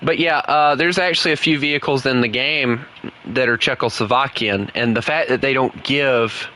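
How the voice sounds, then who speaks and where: Young man, southern accent, rambly gaming chat, bright voice. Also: mid-range voice, normal speed. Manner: rambling, chatty, laughs often. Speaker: gaming streamer.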